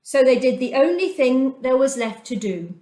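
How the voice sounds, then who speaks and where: A middle-aged woman telling a children's Bible story aloud, gentle and expressive, with dramatic pauses. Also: sits high. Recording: echoey room.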